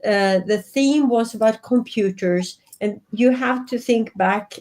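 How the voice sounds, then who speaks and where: Swedish woman, 50s, interview guest; unhurried, earnest delivery over a video call. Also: fairly high pitch, darkish tone. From Sweden. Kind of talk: reflective interview talk. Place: home office.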